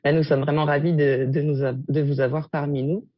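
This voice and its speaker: A woman with a husky voice hosts an interview in a polite, formal style. The sound is very dry and a little muffled. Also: low voice, level tone, brisk, dry recording. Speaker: host, interviewer. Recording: muffled lo-fi audio.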